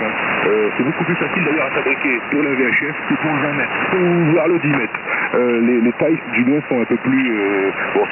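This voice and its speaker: A man from France chatting casually over amateur radio, hesitant and enthusiastic. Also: medium pitch. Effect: radio transmission. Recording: very noisy, hissy narrowband ham radio signal. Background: loud radio hiss.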